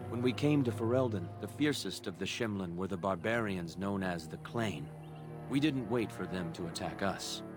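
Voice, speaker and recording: Low-voiced, solemn elven craftsman. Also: lively intonation. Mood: proud. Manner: measured, solemn, formal storytelling. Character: proud, kindly elven master craftsman of a woodland clan. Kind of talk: fantasy game voice acting. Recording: loud music behind the voice. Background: game music.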